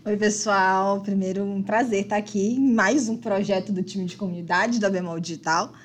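A woman in her thirties speaking as a guest on a company livestream, lively and casual. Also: mid-range voice, moderate pace. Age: 30s. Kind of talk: casual panel talk.

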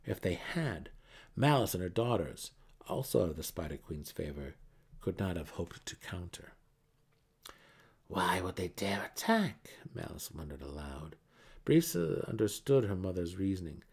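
A man delivers dramatic fantasy audiobook narration. His voice is fairly low and gravelly, and it moves through a wide, animated range of pitch. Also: moderate pace.

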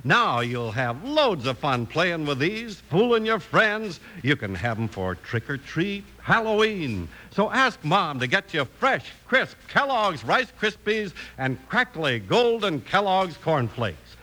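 An American man working as an announcer, delivering 1950s radio advertising with emphatic, excited salesmanship. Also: a little high, slow pace, few pauses.

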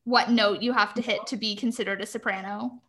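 A woman's voice: mid-range voice, steady tone, brisk, bright, forceful tone. A woman in casual chat who explains singing terms in detail and laughs often.

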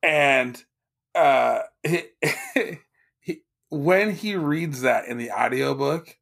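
A man chatting casually about a book, wry and often laughing, halting with restarts. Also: mid-range voice, vocal fry, a bit bright. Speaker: podcast co-host.

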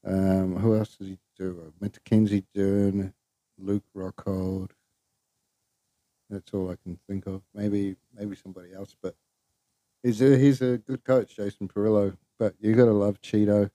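Male podcast host, low mellow voice, rambling and offhand, very dry room. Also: little pitch movement, measured pace, no echo. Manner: rambling, loose, swears, trails off. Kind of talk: casual solo podcast chat.

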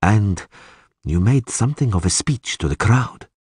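A man's voice: lowish voice, animated, darkish tone, breathy edge, no room sound, heavily acted. A man voicing a sardonic elf prisoner in a fantasy audiobook, precise and dryly sarcastic. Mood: quiet, dry. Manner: dry sarcasm, measured, precise wording. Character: sardonic male elf prisoner, sneering, coolly articulate.

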